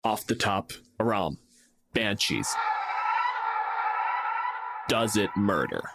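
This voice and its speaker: A man's voice: lowish voice, expressive, slow, heavy background noise. A man from Canada co-hosting a podcast, explaining and arguing his points casually with some swearing. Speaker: podcast co-host and game master.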